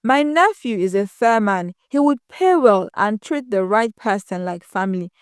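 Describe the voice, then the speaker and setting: A woman voicing a respected old male village elder in a moral story, with a measured, weighty manner. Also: mid-range voice, animated, clear, resonant tone, no room sound, clean studio sound, close to an everyday voice. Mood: grave, measured.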